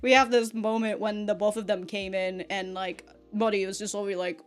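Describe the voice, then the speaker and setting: A woman's voice: mid-range voice, fairly even intonation, normal speed, faint music behind. A young adult woman giving a casual, rambling review as a reaction host, informal and giggly in her retellings. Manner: frequently swearing, informal fan slang. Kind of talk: casual rambling episode review with acted-out quotes.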